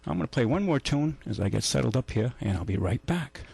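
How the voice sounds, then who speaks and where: Middle-aged male radio host, gravelly and husky, easygoing rambling delivery. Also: medium pitch, fast talker. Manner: relaxed, rambling with fillers. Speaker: radio talk show host, car dealer. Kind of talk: casual call-in radio chat.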